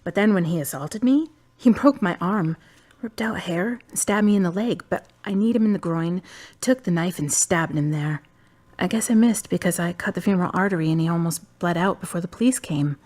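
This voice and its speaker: A woman's voice: lowish voice, a little fast, darkish tone, close to an everyday voice. A woman playing a frank, wise young woman. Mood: somber. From United States. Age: 20s. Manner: blunt and dryly teasing. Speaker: friend and love interest. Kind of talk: amateur web drama acting.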